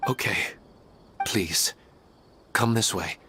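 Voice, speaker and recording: A man's voice: fairly low pitch, slow, pushed and bright, a little hiss or hum. A man voicing a polite, earnest father in a video game, in a natural everyday manner. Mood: courteous. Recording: game music behind.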